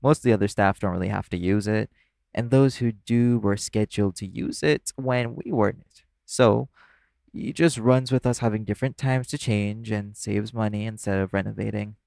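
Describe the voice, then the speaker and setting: An easygoing club performer played by a man talks in a low voice with plenty of gravelly fry, recorded close and dry. He is playful and teasing, speaking casually as if to a coworker in an intimate audio roleplay. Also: normal speed, darkish tone, dry recording. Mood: friendly, reassuring.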